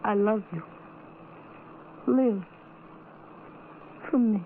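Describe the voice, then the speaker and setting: A woman playing a tender, devoted blind woman pleading with her beloved in halting, earnest phrases, in the style of old radio melodrama. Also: mid-range voice. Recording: muffled, noisy vintage radio recording.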